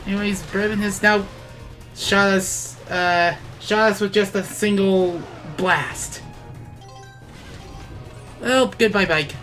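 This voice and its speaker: A woman's voice: medium pitch, fairly bright tone. A woman giving casual video game commentary, hesitating often and correcting herself as she goes. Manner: halting, self-correcting, frequent hesitations. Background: game music.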